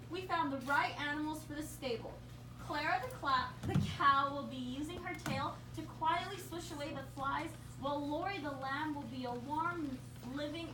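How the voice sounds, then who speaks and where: A kind Christmas angel with a high, clear voice, as a woman plays her. She talks in an unhurried storytelling way. She sits far from the mic in an echoey room, with background hiss. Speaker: puppeteer voicing a Christmas angel host. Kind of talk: amateur puppet show.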